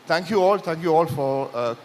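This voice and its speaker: A man from Kerala with a Malayali Indian accent, a native Malayalam speaker, moderating a discussion in a halting, self-correcting manner. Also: on the high side, lively intonation. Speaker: session moderator. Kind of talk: discussion closing remarks. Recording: reverberant hall with background noise.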